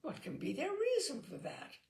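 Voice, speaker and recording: Woman reading as a genial older gentleman. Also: sits high, huge pitch swings, normal speed, vocal fry, voice pushed up, full character voice. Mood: teasing.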